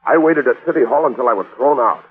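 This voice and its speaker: An actor playing a worried, suspicious jilted bridegroom, earnest and questioning, in a 1940s radio drama. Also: male voice, mid-range voice, fast, husky, close to an everyday voice. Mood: worried suspicion. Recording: lo-fi old radio broadcast.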